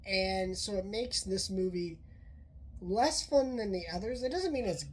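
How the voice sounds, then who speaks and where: A woman's voice: mid-range voice, a little slow, slight background noise, low-quality recording. A woman who is sick and sounds off, casually reviewing a movie in a rambling way with many fillers and restarts. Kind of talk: casual spoken film review.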